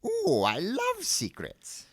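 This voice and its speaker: Man putting on an excitable, high-pitched old shopkeeper. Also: fast talker, no room sound, clean studio sound. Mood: excited. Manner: excitable, exclamatory. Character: excitable eccentric old male wand seller.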